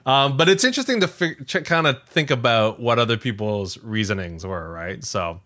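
Animated bright-voiced man chatting casually. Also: medium pitch, normal speed. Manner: animated, conversational, filler-heavy with frequent "like" and "you know". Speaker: podcast co-host. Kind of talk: casual tech news chat.

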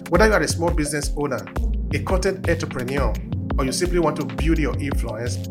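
A man's voice: fairly high pitch, fast, music in the background. A man hosting a podcast, reading a scripted intro and asking questions in formal, slightly unidiomatic phrasing. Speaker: podcast host.

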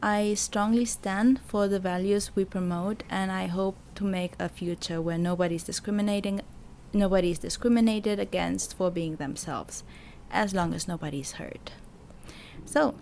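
A woman raised in Barcelona, co-hosting a podcast in an earnest, measured way. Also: medium pitch, expressive, creaky, a little mellow, a little hiss or hum. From Barcelona, Spain. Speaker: podcast co-host. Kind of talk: reading a podcast introduction.

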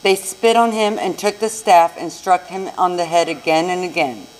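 A woman's voice: lowish voice, normal speed, a little mellow, rough recording. An American woman reading a scripture narrative aloud as narrator in a live church recording. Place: church service.

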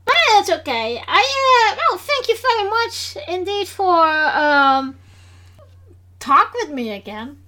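A woman's voice: extremely high pitch, sing-song, slow, pushed and bright. A woman with a Dutch accent, a non-native English speaker, hosting a casual podcast chat and talking hesitantly with many fillers and restarts. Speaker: podcast host.

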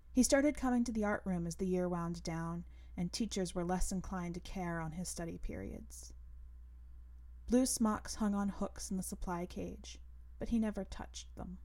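A woman narrating literary fiction as a podcast host, in a measured, deliberate manner. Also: mid-range voice, dark tone. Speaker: podcast host and story reader.

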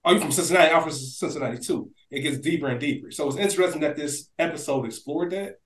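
A man's voice: a little high, fast talker. A man co-hosting a podcast, discussing things in a conversational, reflective way, recorded in an echoey room. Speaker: podcast co-host. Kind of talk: casual discussion.

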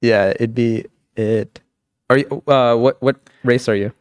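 A young man chatting as a podcast guest, relaxed and upbeat, laughing often and filling his talk with "dude" and "like". His voice is clear and resonant. The sound is dry and close. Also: mid-range voice, a little slow, dry recording. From Pakistan. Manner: laid-back, says "dude" and "like" a lot, laughs often. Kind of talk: casual chat.

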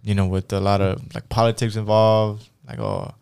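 A man's voice: fairly low pitch, sing-song, moderate pace, vocal fry, a little mellow, smooth. A man speaking in a casual group chat, laid-back and easygoing, who swears casually.

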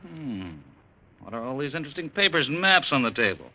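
A man's voice: mid-range voice, sing-song, a little fast, sharp tone, full character voice. A man acting in a 1940s radio drama as a greedy, domineering mine owner, brusque and melodramatic. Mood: smug, needling. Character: greedy, domineering brother turned rich, haunted mine owner. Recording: dull, lo-fi old radio recording.

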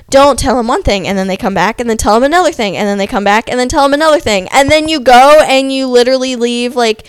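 A young woman talks into a close podcast mic with a stuffy nose, as if her voice is going. Her tone is clean and crisp, slightly high, and dry with no room sound. She rants in a sarcastic, animated way, with plenty of "like.". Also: no echo. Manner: animated, sarcastic, heavy use of "like". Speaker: podcast host. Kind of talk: casual ranting podcast monologue.

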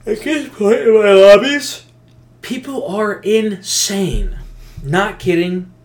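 A man's voice: sits high, lively intonation, slow pace, a little mellow. A man chatting casually as a gaming podcast co-host, rambling and venting emphatically in slangy language.

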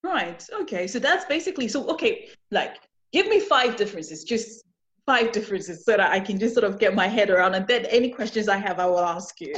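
A woman's voice: mid-range voice, animated, fairly quick, a bit bright. A woman hosting a casual conversational interview, asking questions and restating the answers to sum them up. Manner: repeats and sums up the guest's points. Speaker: podcast host, interviewer. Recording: slightly dull lo-fi sound.

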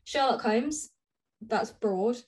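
A woman's voice: fairly high pitch, takes it slowly, darkish tone. A woman co-hosting a podcast, chatting casually with a friend in a playful, dryly teasing way. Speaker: podcast co-host. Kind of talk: casual chat between friends.

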